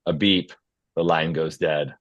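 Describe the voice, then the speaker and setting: A man's voice: a bass voice, fairly even intonation, unhurried, dry recording, lo-fi sound. A man speaking as host and narrator of an informal script table read. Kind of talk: stage-direction reading and casual feedback discussion.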